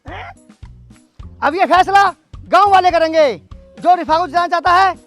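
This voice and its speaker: A high voice from a male actor plays a village schoolmaster in a comedy sketch. He is bossy and exasperated, scolding like an announcer to unruly people, over faint music. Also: normal speed, a bit bright. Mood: exasperated, announcing. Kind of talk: broad comedy sketch acting. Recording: background music under dialogue.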